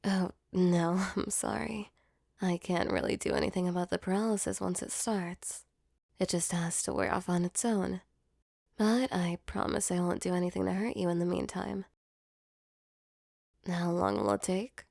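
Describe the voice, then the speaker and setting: A woman voicing a female sleep paralysis demon in an intimate ASMR roleplay, with a soft, close delivery. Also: lowish voice, fairly even intonation, moderate pace, gravelly, no echo. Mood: gentle, earnest. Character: female sleep paralysis demon, mock-menacing turned tender and flustered.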